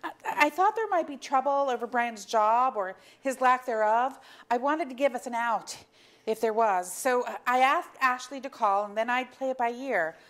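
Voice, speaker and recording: A woman's voice: fairly high pitch, moderate pace, a bit bright, lightly acted. A woman playing a sensible, dryly teasing middle-aged suburban wife in a staged play reading. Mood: matter-of-fact.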